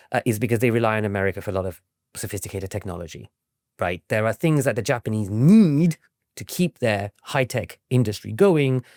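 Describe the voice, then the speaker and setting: A man's voice: lowish voice, darkish tone, dry recording, studio quality. A man giving explanatory answers as an expert interview guest, often tagging his points with a questioning "right?". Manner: frequently tags statements with "right?". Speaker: interview guest, academic author and historian.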